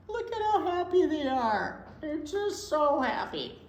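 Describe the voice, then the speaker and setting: A woman reading a picture book aloud as a children's storytime host, playfully exclaiming and commenting on the pictures as she goes. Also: high-pitched. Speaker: children's librarian, storytime reader. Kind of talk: animated picture-book read-aloud with chatty asides. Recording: roomy echo with some background noise.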